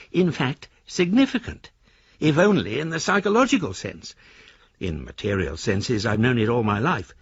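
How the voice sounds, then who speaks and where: A middle-aged debtor in a radio play, wordy and melancholy. His husky voice swings expressively through reflective, literary phrases, on a dry, slightly dull recording. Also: mid-range voice, dry recording, exaggerated voice. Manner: literary, reflective, self-dramatising. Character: melancholy, wordy widowed debtor writing to creditors. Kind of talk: radio drama letter monologue.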